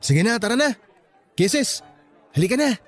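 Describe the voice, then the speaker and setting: High-voiced, earnest young graduate. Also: male voice, big pitch swings, moderate pace, soft background music, some chatter behind, full character voice. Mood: playful. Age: young adult. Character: encouraging, teasing young man, new college graduate. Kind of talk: Filipino radio drama acting.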